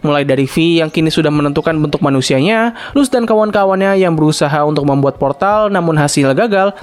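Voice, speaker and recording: A young adult man with an Indonesian accent narrating a recap review in an informal, conversational, explanatory way. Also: high voice. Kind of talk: casual scripted recap commentary.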